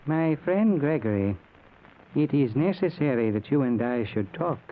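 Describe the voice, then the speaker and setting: A man playing a grave, patient yogi teacher in a 1940s radio drama, with formal, measured speech. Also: medium pitch, lively intonation, phone-quality sound, lightly acted. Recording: thin, noisy old radio recording.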